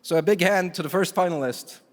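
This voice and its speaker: A man from Canada chairing an award jury, giving a relaxed, wry ceremony speech on a stage microphone, halting often on fillers. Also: high voice. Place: large conference hall. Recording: long hall reverb.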